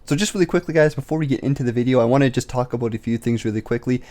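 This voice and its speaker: A young man in his twenties with a raspy voice, still getting over being sick, giving a casual investing update from his bedroom into a desk mic. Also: medium pitch, a little mellow. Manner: quick, filler-heavy talk. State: recovering from illness, slight cough. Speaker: investing YouTuber. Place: bedroom, indoors. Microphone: desk microphone on boom arm.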